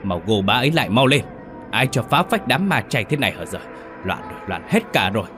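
A man's voice: fairly low pitch, expressive, quick pace, music in the background, natural voice. A man playing an older village uncle, alarmed and trembling as he gives orders in a horror story. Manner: trembling, exclaiming.

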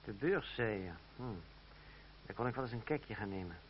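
An actor playing a self-assured gentleman adventurer in a radio drama, suave, ironic and a little condescending in manner. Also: on the low side, expressive, moderate pace, close to an everyday voice. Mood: musing, ironic. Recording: muffled, noisy old radio recording.

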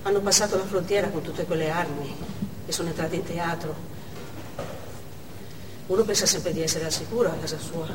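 A woman's voice: on the low side, brisk, pauses often, close to an everyday voice. A frightened, indignant hostage mother played by a woman on stage tells her ordeal as a dramatic monologue. She sounds far from the mic in a noisy, reverberant theatre hall. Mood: weary, stunned.

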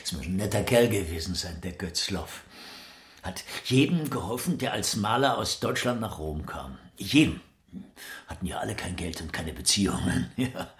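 An actor playing a curious, chatty West Berlin curator on a visit, in a conversational radio drama. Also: male voice, low voice, animated, moderate pace. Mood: amused.

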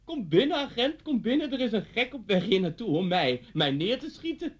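An actor playing a taunting man in a radio drama, teasing and theatrical, relishing menace as he swings between quiet and loud. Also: high-pitched, very animated, normal speed. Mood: mocking, menacing relish. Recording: dull lo-fi radio play recording.

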